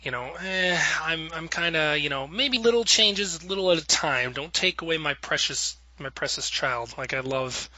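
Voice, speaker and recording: Very bright, forceful male podcast host. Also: fairly high pitch, moderate pace, few pauses, poor audio. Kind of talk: casual panel chat.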